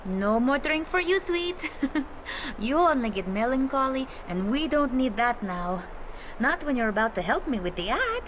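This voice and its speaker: A woman's voice: high voice, very animated, normal speed, noisy background. A woman playing a kind, chatty, earthy tavern hostess in a video game, with a coaxing, affectionate, folksy manner. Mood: coaxing, playful. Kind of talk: video game cutscene acting.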